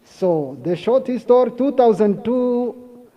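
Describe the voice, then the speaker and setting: High voiced guy from congo, broken english, slow and repetitive, muffled lo-fi sound. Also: lively intonation, mellow. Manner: broken, repetitive phrasing, unhurried with long pauses. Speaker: guest speaker. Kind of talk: halting personal testimony. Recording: dull lo-fi sound.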